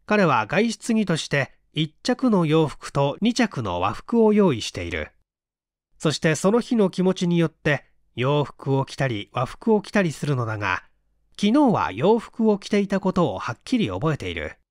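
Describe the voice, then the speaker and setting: A male narrator reads fiction in a measured, dramatic way. His voice is on the high side with animated intonation. The recording is very dry and close. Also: dry recording. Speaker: audiobook reader.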